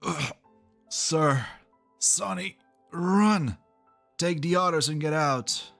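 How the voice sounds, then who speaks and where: A loyal, rough-edged pioneer sidekick, acted by a man with a bright, forceful voice. He talks slowly, in dramatic game-dub style, a defiant comrade to his boss. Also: on the high side, faint music behind, full character voice. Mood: pained, defiant. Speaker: amateur voice actor. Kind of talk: dramatic fan-dub voice acting.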